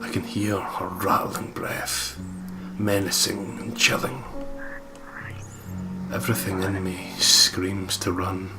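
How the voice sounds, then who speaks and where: A deep-voiced man narrates a horror story in the first person, reading dramatically as a let's play host. Loud game music plays behind his voice. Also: vocal fry. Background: prominent game music.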